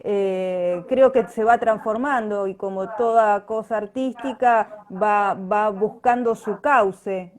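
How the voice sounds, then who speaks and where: A woman's voice: medium pitch, slow pace, hardly any pauses, darkish tone. A woman with an Argentine accent, a guest in a casual live online chat, speaking conversationally with frequent tag questions. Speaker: interview guest, radio drama actress. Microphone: online video call.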